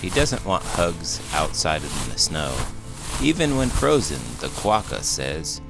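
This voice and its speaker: A man puts on a low-pitched cartoon horse with a wildly swooping delivery. He is a cheeky, curious storybook sidekick, theatrical and unhurried as he reads to children. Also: very animated, vocal fry, music in the background, exaggerated voice, poor audio. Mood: playful, teasing. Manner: theatrical, exaggerated. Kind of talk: read-aloud children's storytelling with banter.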